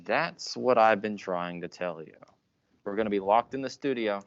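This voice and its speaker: A young man playing a grandiose, obsessive radio announcer, theatrical and boastful in a high school radio play. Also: moderate pace, no echo, natural voice. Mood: scolding. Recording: dull lo-fi recording.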